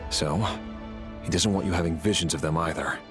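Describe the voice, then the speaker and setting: Man acting a grim, low-voiced knight. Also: natural voice. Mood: stern, guarded. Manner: measured, formal, dryly menacing. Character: grim, sardonic male knight turned palace conspirator. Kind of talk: video game voice acting. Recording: game dialogue with music behind.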